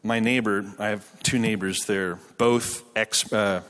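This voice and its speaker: A man's voice: on the low side, fairly even intonation, unhurried, a bit bright. A man with a Canadian English accent, a pastor giving a conversational, informal sermon with a joking, self-deprecating manner. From Quebec, Canada. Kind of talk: conversational sermon to a live audience. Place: large hall, speaking to an audience. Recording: long hall reverb.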